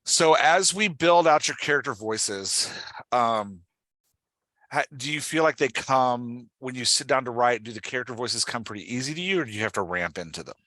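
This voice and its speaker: A man's voice: medium pitch, lively intonation, normal speed, pushed and bright, dry recording, poor audio. A man hosting a conversational coaching interview, explaining with examples and often checking that he is understood. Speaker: podcast host and writing coach.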